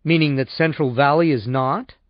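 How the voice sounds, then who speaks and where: A man's voice: fairly high pitch, normal speed, no echo, dull audio, close to an everyday voice. A man voicing a stubborn, impatient male customer with a curt, sardonic manner in an audiobook.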